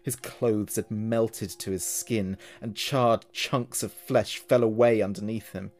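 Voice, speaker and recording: A man's voice: fairly low pitch, steady tone, slow, darkish tone, faint music behind. A man telling a horror story as narrator, in a dramatic scripted style.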